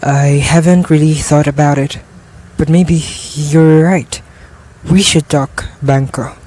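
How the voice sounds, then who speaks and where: A man's voice: a little high, fairly even intonation, takes it slowly, darkish tone. A man playing a troubled, wavering nobleman in a radio drama, hesitant and brooding, with music behind him. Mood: guarded. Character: Macbeth, troubled, wavering Scottish nobleman and husband.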